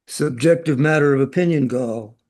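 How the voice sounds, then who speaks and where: A man's voice: a little high, moderate pace, darkish tone, lightly acted. A man acting in a radio drama as a chatty, knowing, worldly man with a breezy, opinionated way of talking.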